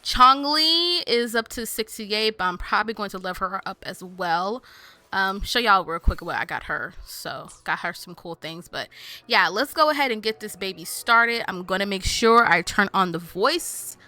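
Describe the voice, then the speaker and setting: A woman's voice: medium pitch, expressive, moderate pace, sharp tone, dry recording. A woman gaming host giving casual, chatty, informal commentary to her viewers while she plays.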